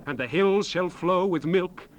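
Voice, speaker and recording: A man playing a solemn, fervent Bible prophet in a dramatized scripture recital, with grand, measured, declamatory phrasing. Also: sits high. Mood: fervent, commanding. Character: male prophet Joel proclaiming God's words, solemn and fervent. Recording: noisy unprocessed 1970s cassette rip.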